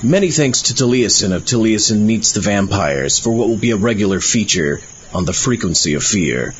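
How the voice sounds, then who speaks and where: A man's voice: on the low side, animated, moderate pace. A man hosting a horror podcast in vampire character. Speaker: podcast host. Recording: dull lo-fi with noticeable background noise.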